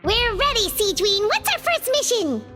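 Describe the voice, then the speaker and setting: A woman voicing a tiny, childlike, excitable fairy companion in a video game. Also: moderate pace. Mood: eager. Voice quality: squeaky, childlike. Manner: exclamatory, refers to self by name. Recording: loud music under the voice.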